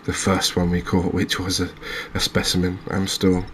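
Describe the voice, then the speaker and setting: Low, very even-toned man commentating softly on gameplay. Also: normal speed, a little hiss or hum. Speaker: host of a gaming channel playing a fishing game. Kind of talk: quiet ASMR gameplay commentary.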